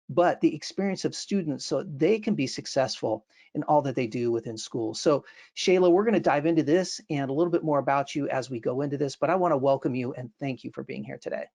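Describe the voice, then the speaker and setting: A man's voice: a little high, vocal fry, darkish tone. A middle-aged man hosting an education webinar over a video call from a home office, upbeat and earnest with some fillers. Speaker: webinar host. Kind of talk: webinar interview. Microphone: earbuds on video call.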